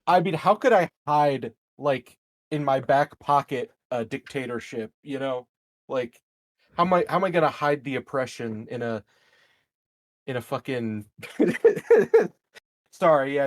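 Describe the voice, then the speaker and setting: A man's voice: mid-range voice, expressive, measured pace, dry recording. A man playing a tabletop roleplaying game, chatting casually in a jokey, mock-innocent way. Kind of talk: casual joking table chat.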